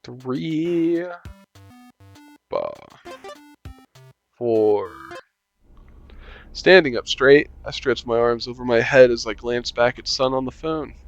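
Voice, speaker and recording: A young man reads a visual novel aloud in a relaxed, joking way, humming and muttering between lines. His voice is mid-pitched and the recording sounds a little dull. Soft game music sits in the background. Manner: casual, joking asides, hums to himself. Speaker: gaming channel host.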